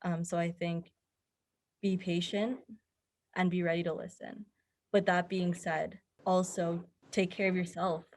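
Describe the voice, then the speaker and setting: Young woman podcast guest giving earnest advice, kinda low voice, pretty flat melody. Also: measured pace. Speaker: podcast guest, mental health advocate. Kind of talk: conversational interview answers, giving advice.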